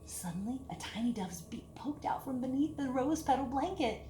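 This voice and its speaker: A woman reading a picture book aloud to children in an expressive, deliberate storytelling manner, with hushed dips and emphatic lifts. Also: high voice. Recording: echoey room with clear background hiss.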